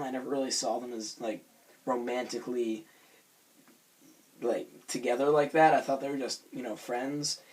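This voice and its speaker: A hoarse young man with a raspy voice, rambling casually through a self-conscious vlog with many fillers and restarts. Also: mid-range voice, low-quality recording. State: hoarse from screaming. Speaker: vlogger reviewing a cartoon episode.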